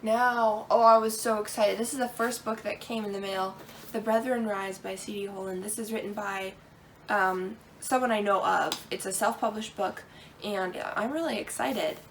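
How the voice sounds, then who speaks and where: A woman's voice: medium pitch, steady tone, moderate pace, distant mic. A woman shows off her new books with eager, rambling chatter. She sits a little back from the mic, and a faint hiss runs under her voice. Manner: enthusiastic, rambling. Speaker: co-host. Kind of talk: casual book haul chat.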